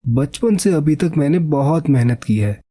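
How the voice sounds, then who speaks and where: A man voicing a poor, earnest young village painter and devoted husband in an animated story, sincere and proud of his craft. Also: on the high side, measured pace. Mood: proud, firm.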